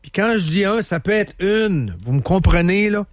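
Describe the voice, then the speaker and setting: A Quebec radio host with a slightly raised pitch, chatty and emphatic, who often repeats his points. The studio sound is dry and close. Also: animated, normal speed, no echo. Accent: Quebec French. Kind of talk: casual radio talk.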